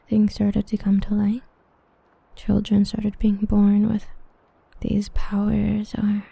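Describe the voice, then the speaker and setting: A young woman voicing an obsessive, protective half-demon villain in a hushed, intimate ASMR roleplay whisper. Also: mid-range voice, gravelly, slightly rough, no echo, exaggerated voice. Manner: hushed, intimate, slow and brooding.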